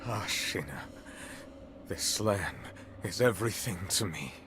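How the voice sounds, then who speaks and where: A man's voice: low voice, animated, takes it slowly, noisy background. A man playing a proud warlord in a video game, grave, intense and dramatic in his devotion. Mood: fervent resolve. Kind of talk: English game cutscene dialogue. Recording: loud music over the voice.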